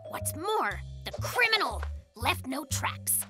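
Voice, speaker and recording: A woman voicing an excitable young boy bear in a cartoon, melodramatic and self-important like a detective. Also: sits high, slow, music in the background, heavily acted. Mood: dramatic, suspenseful. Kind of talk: cartoon dubbing with mock-noir narration.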